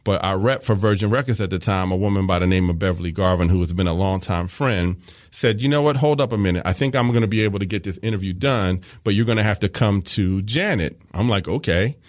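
A man's voice: deep voice, quick pace, dry recording, studio quality. A man hosting a podcast, telling a story in scripted narration with enthusiastic, candid asides. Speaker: podcast host.

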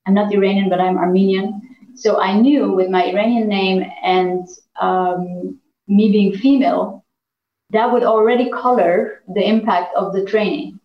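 An earnest woman from Iran talks through her experience as a negotiation trainer. She speaks at a middle pitch and often restarts her sentences. The sound is roomy and echoey, with a dull, lo-fi tone. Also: steady tone, measured pace, slight background noise. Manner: earnest, many fillers and restarts. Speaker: negotiation trainer and executive coach. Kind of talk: reflective interview answers.

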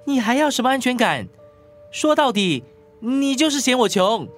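A woman's voice: a little high, sing-song, moderate pace, soft background music. A woman acting a defensive, irritable spouse in a radio drama, curt and exasperated in manner. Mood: irritated, defensive. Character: irritable, defensive, evasive spouse who flees arguments.

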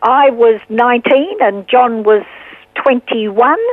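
A woman in her sixties with an Australian accent, a guest telling her story earnestly and unhurriedly, with pauses. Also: a little high, no echo. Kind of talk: casual interview storytelling. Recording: tinny phone line.

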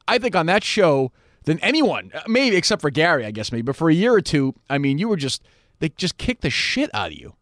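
A man's voice: on the high side, big pitch swings, brisk, fairly bright tone, no room sound, clean studio sound. A man hosting a casual conversational interview, blunt and freely profane in the way he talks. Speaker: interview show host.

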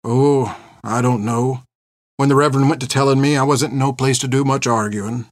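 A gruff old reformed pirate, played by a male narrator, haunted and fierce yet tender underneath. He talks in folksy, ungrammatical seaman's speech, in a dramatic audiobook performance. The sound is very dry and close. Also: mid-range voice, steady tone, moderate pace, no room sound, close to an everyday voice. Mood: subdued, remorseful. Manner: folksy, ungrammatical rustic old-sailor talk. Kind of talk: dramatic audiobook character acting.

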